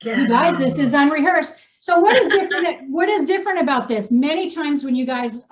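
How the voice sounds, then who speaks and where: A woman's voice: sits high, expressive, a little fast. A woman from New Hampshire hosting, chatty and informal, with casual slangy phrasing. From New Hampshire, United States. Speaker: host and co-author. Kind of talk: casual unscripted group chat, reading aloud from a personal story. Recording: dull, echoey room sound.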